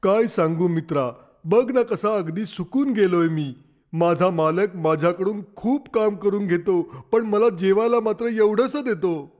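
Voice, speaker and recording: A man's voice: high voice, a little slow, a little mellow. A man voicing a naive, overworked village donkey in a children's animal story, with a plaintive, simple manner. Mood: self-pitying.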